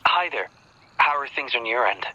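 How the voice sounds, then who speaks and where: A man's voice: medium pitch, animated, moderate pace, sharp tone, highs cut off. A man voicing a courteous, brainy young researcher in a video game, speaking politely and precisely. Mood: polite, composed.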